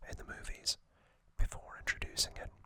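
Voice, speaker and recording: A man whispering trivia as an ASMR host into a close microphone, softly, with frequent ums and uhs. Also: fairly quick. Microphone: close sensitive mic.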